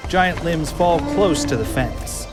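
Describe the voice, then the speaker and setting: A man narrating an audio drama, reading scene descriptions in a measured storytelling style. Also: on the high side. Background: music.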